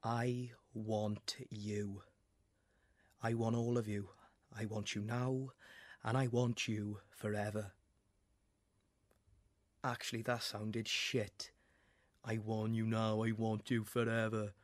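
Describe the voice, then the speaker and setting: A young man playing a young man confessing his love in a monologue, speaking softly and earnestly with hushed swearing. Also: lowish voice, flat delivery, slow, pauses often, no room sound. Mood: tender. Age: young adult. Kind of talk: acting class monologue.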